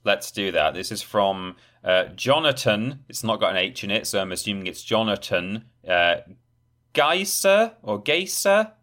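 A man's voice: on the low side, big pitch swings, unhurried, bright, forceful tone. A man with a Yorkshire accent co-hosting a podcast, chatting in a dry, sarcastic, rambling way. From Yorkshire, England. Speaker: podcast co-host. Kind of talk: casual chat.

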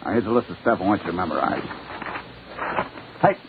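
An actor with an American accent playing a slick, pushy small-time swindler in old-time radio drama. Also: male voice, sits low, animated, a little fast, vocal fry. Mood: menacing. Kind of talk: 1950s radio drama acting. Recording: tinny, hissy old radio recording.

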